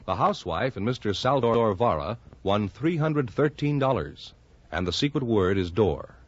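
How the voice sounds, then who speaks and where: A man's voice: fairly low pitch, animated, moderate pace. An American man announcing on a quiz show in 1940s radio style. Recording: muffled, noisy old radio recording.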